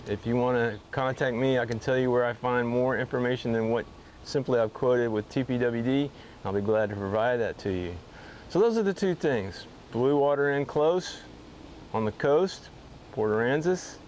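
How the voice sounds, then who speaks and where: A man's voice: mid-range voice, a little hiss or hum. Easygoing middle-aged man giving a fishing report. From Texas. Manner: relaxed, easygoing, with frequent "uh" pauses. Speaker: fly fishing guide and channel host. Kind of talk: casual weekly fishing report to camera. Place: indoor garage-like workshop bar, seated at a table.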